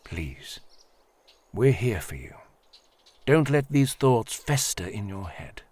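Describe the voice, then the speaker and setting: A man playing a paternal, devout high priest in an audio drama, speaking in a measured, formal and placating way. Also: sing-song, darkish tone, exaggerated voice. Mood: soothing, pastoral.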